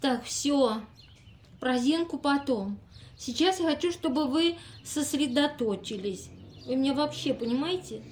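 A woman's voice: sits high, animated, unhurried, a little mellow, a little hiss or hum. A woman playing an impatient, businesslike experimenter in an amateur audio play, giving instructions with exasperation. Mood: brisk, impatient.

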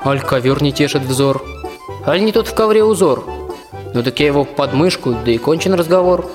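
An actor playing a plain-spoken, cheeky young peasant archer, talking in folksy rhyme in a fairy-tale radio play. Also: male voice, on the high side, lively intonation, moderate pace, natural voice. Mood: cheeky, defiant. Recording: music behind the voice.

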